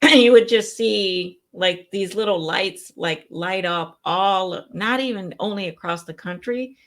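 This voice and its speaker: A woman's voice: medium pitch, unhurried. A woman co-hosting a casual chat, speaking enthusiastically and reflectively with some filler words. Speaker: co-host.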